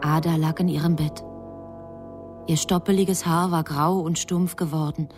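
A woman narrating a radio drama in a measured, sober manner. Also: low voice, steady tone, music in the background.

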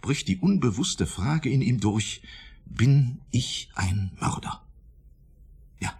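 Self-important shrink in a radio play, lectures pompously, husky voice, very swoopy delivery. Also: male voice, medium pitch, animated, takes it slowly, darkish tone, exaggerated voice. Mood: lecturing, insistent. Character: self-important married male psychotherapist, blind to his failings. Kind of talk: German radio play acting.

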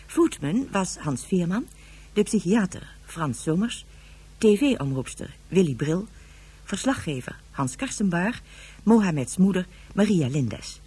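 A woman's voice: lowish voice, very animated, unhurried, warm tone, husky. A woman reading scripted credits as the announcer of a radio drama.

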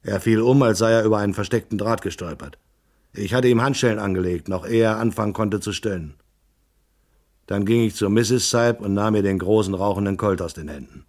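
A man's voice: on the low side, a little fast, darkish tone, lightly acted. A man playing a tough private detective who narrates a hard-boiled radio crime drama tersely and matter-of-factly. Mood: laconic. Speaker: first-person narrator.

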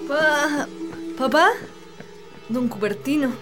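An actress playing an emotional, pleading young daughter in love in a radio drama. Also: female voice, high-pitched, takes it slowly, fairly bright tone, faint music behind. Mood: anxious, pleading.

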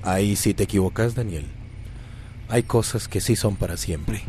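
A man's voice: fairly low pitch, moderate pace, a little mellow, slight background noise, close to an everyday voice. An actor playing a dejected, resigned father in a radio soap opera.

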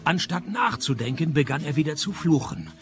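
A man acting a sardonic private detective, narrating a comic noir radio play with deadpan, dry sarcasm. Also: fairly high pitch, normal speed, soft background music. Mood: sarcastic.

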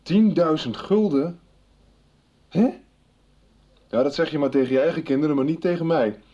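Guy playing a fed up protective boyfriend in a radio drama, soft dark voice, dry, bit muffled. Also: a little high, moderate pace, lightly acted. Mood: exasperated. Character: exasperated, protective male partner, drily teasing. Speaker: actor in a radio serial. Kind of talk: 1990s Dutch radio soap acting. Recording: slightly dull radio recording.

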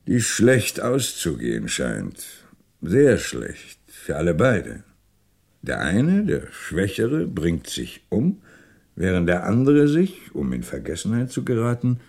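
An actor playing a shrewd, teasing police inspector in a German radio crime drama, brisk and commanding. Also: male voice, sits low, creaky, dark tone, husky, full character voice. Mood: pondering.

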